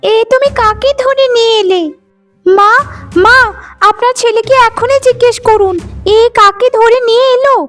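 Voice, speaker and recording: A woman plays a scornful young sister-in-law from a cartoon drama. The voice is very high and full of nagging, sharp questions. Faint music runs underneath. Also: moderate pace. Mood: shrill, outraged. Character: scheming, scolding young sister-in-law, greedy and disdainful.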